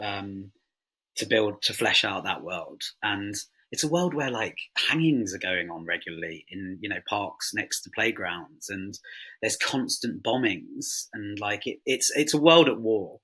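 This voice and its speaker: A man gives a casual interview as a writer. His voice is low and rough-edged, with a bright tone. He rambles loosely, filling gaps with informal asides. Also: lively intonation, a little slow. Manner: informal, filler-heavy, rambling. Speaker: writer, panel guest.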